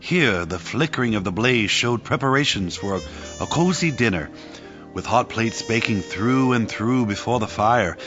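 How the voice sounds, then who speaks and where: A man's voice: on the low side, a bit bright. A man narrating a descriptive Victorian story in a scripted audio play. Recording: dull lo-fi audio with music behind.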